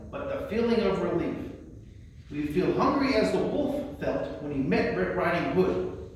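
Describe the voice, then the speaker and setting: A man presenting and reciting verse as a librarian, with theatrical, emphatic and deliberate phrasing. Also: high voice, a little mellow. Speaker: librarian presenting a poetry reading. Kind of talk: poetry recitation with spoken introductions. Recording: roomy echo, distant mic, faint noise.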